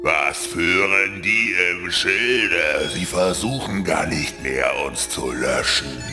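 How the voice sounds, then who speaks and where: A man playing a menacing, defiant computer virus, a gravelly villain in a children's audio drama. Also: low voice, slow pace. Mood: suspicious. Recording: loud music underneath.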